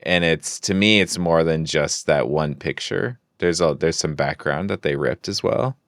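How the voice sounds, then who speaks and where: Really deep smooth guy co-hosting a podcast, thoughtful and a bit halting. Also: fairly bright tone, dry recording, studio quality. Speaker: podcast co-host. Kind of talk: casual discussion.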